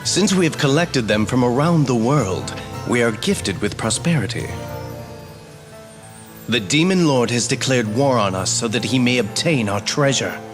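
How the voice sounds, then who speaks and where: A man's voice: mid-range voice, animated, normal speed, music in the background, close to an everyday voice. A man playing a courtly, dutiful fairy royal advisor in a fantasy game, formal and composed. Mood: explaining. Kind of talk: fantasy video game voice acting.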